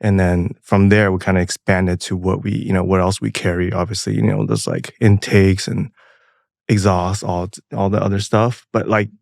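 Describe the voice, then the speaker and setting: A man from Taiwan with a lowish, gravelly voice, speaking softly and casually with plenty of pauses and fillers. The sound is dry and close, with no room around it. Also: no room sound. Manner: soft-spoken, relaxed, many fillers. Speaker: podcast guest, parts company founder. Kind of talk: casual interview chat.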